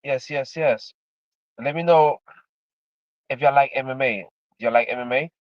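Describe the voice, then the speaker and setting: A man's voice: mid-range voice, normal speed, no echo, highs cut off. A man in his fifties from Miami, chatting casually to his livestream audience in a rambling, repetitive way, full of "man" and "you know". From Miami, Florida, USA. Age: 50s. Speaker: livestreamer, former MMA fighter.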